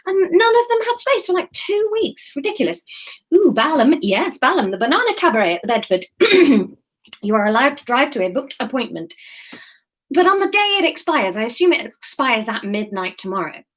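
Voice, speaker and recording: A woman from the UK talks casually to her livestream audience, hopping from topic to topic. She pokes fun at herself and laughs easily. When she turns to her book, she reads the narration in the same relaxed, unpolished voice. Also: sits high, moderate pace. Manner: chatty, rambling, self-mocking, laughs often. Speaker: comedian and author reading her story on a livestream. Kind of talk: casual livestream chat and reading aloud.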